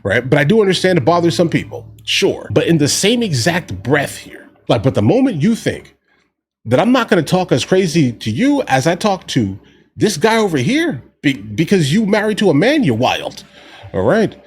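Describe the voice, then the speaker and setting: A man's voice: on the high side, big pitch swings, normal speed. A man giving casual, slangy political commentary as host of a reaction video, talking directly to his viewers. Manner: informal slangy talk, often addressing listeners as guys.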